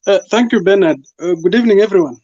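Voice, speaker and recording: Male debate panelist, Kenyan accent, notably high pitch, formal and emphatic, muffled dry recording. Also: quick pace, darkish tone, no echo. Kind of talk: political debate.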